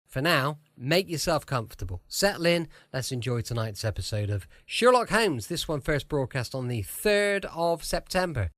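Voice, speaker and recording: Lively, higher-voiced British podcast host. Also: male voice, normal speed, few pauses, dry recording, studio quality. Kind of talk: chatty scripted introduction. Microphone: close studio microphone.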